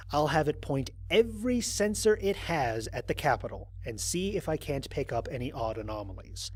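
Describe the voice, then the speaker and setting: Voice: mid-range voice, natural voice. An actor playing a wry, exasperated astronaut stranded on an alien world, recording mission logs in an audio drama with dry sarcasm. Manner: sardonic, dry, expressive emphasis.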